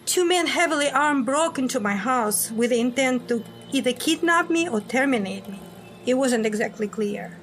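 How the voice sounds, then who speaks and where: A woman playing a brash, sharp-tongued secret agent on the run in an audio drama, blunt and sardonic. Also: high voice, lively intonation, normal speed. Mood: guarded.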